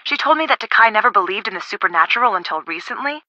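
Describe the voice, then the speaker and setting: A woman voicing a friendly young woman in a video game, casual, expressive and helpful. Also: mid-range voice, quick pace, no echo, highs cut off. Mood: thoughtful, gossipy.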